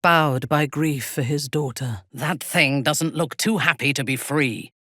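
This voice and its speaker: A woman playing a haughty, menacing dark elf warrior commander in a video game, with a husky voice and a cold, imperious, deliberate delivery. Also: sits low, no room sound, studio quality. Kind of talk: dramatic video game voice acting.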